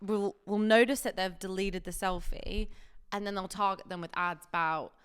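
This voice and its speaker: A woman's voice: medium pitch, steady tone, moderate pace, smooth. A woman co-hosting a casual podcast chat, sniffly from a cold, swearing freely and laughing as she talks. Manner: chatty, swears freely, laughs while talking. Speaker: podcast co-host.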